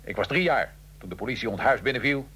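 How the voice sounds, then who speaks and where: A man playing a condemned Italian revolutionary in a radio drama, recounting his life in a measured, dry, ironic way. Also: mid-range voice, expressive, pushed and bright, breathy edge, heavily acted. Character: Italian male revolutionary prisoner, condemned, dryly matter-of-fact. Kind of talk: radio drama acting, interview-style storytelling.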